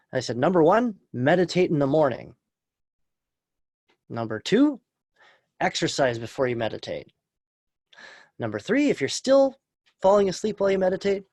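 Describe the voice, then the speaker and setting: Slow, calm, soft-spoken man. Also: mid-range voice, no echo, studio quality. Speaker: meditation teacher. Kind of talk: guided meditation and live Q&A webinar. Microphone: video call.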